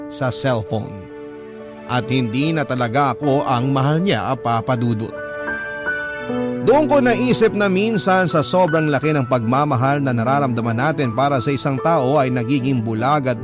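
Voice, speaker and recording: A man's voice: medium pitch, natural voice. Rueful heartbroken man narrating over music, acted. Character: heartbroken, devoted boyfriend telling his own love story. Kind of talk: dramatic first-person radio narration.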